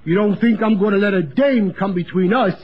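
An actor playing a dim-witted, dopey, cheerful barfly in a 1950s radio comedy. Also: male voice, high voice, a little fast, lightly acted. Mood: dopey, earnest. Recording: noisy, muffled old radio recording.

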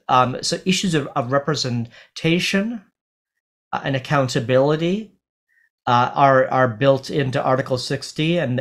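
A middle-aged man speaking on a video call as a panel speaker, talking through a legal text in a halting way with many fillers and restarts. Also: medium pitch, fairly bright tone. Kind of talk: academic panel talk. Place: home office on video call. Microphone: webcam on video call.

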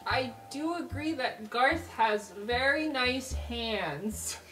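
Woman as naive, blunt student girl, tabletop game; hesitant, slow delivery, slightly high. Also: animated, fairly bright tone, far from the mic, slight background noise, poor audio. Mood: earnest, helpful. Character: naive, eager female student, blunt and affectionate. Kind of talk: improvised tabletop roleplay.

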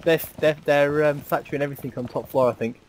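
A man's voice: a little high, steady tone, quick pace, background noise. A man chatting with teammates in voice chat during a shooter match, joking at his own mistakes. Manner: self-mocking, chatty callouts. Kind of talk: team voice chat while gaming.

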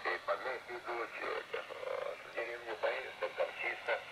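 A man's voice: mid-range voice, flat delivery, moderate pace, sharp tone. An older man chatting casually as a ham radio operator, rambling and repeating himself, his voice processed with reverb over shortwave radio. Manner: rambling, repeats words and phrases. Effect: heard over shortwave radio with added reverb and compression. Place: radio transmission playing from a transceiver in a room. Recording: noisy shortwave radio reception picked up from speaker.

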